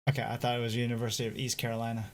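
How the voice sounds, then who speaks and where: Man chatting casually at mid pitch. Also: completely flat delivery, very fast. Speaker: reaction video host. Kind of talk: casual reaction chat.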